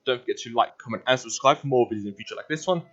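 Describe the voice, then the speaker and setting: A man's voice: mid-range voice, racing, fairly bright tone, husky, lo-fi sound. A man giving casual let's-play commentary as the host of a gaming video.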